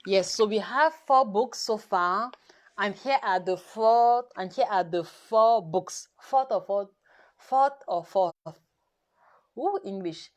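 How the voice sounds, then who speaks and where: A woman whose first language is French, hosting a live nutrition session in careful, halting English full of restarts, coaxing her viewers directly. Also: medium pitch. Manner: halting, self-correcting English with many restarts and fillers. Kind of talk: live stream chat to viewers.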